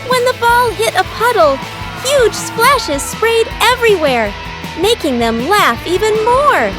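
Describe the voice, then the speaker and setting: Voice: very high voice, very animated, measured pace, over loud music. A narrator reading a scripted children's cartoon story.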